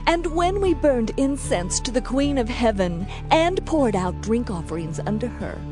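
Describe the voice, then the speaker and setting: A woman's voice: on the high side, animated, moderate pace, over music, lightly acted. A woman playing a defiant, complaining idol worshipper of ancient Judah, declaiming in a dramatized audio Bible. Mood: defiant, aggrieved.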